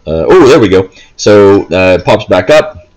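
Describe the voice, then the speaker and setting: Male gameplay host: lowish voice, informal, unscripted, meandering and hesitant. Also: sing-song, moderate pace, darkish tone, low-quality recording. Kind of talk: casual gameplay commentary. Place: indoors, in a room while playing a handheld console.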